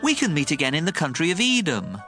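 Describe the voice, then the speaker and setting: A man's voice: a little high, huge pitch swings, quick pace, pushed and bright, soft background music, heavily acted. An actor playing an anxious, cautious patriarch and herdsman in a dramatized audio Bible. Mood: polite, cautious.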